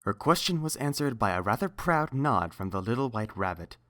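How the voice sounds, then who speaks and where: A woman's voice: rumbling low, sing-song, fairly quick, a bit bright. A woman reading a scripted story aloud as the narrator.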